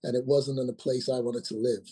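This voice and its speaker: A man of about sixty, from Nigeria, answering as a guest in a relaxed interview. His voice is husky and mellow. He hesitates a lot, stopping and restarting his phrases. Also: mid-range voice, fairly quick. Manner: hesitant, many ums and restarts. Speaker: guest, company founder. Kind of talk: casual interview talk.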